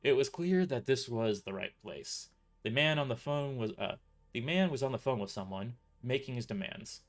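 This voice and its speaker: A man's voice: mid-range voice, expressive, moderate pace, soft background music. A man reading his own first-person story aloud as narrator, often stumbling and restarting his sentences. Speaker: author narrating his novel.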